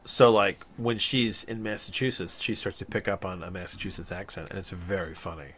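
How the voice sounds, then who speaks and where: A man's voice: low voice, steady tone, brisk, a bit bright, slight background noise. A man co-hosting a podcast in casual chat, talking in a rambling, thoughtful way. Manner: rambling and thoughtful, with many fillers and restarts. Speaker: podcast co-host.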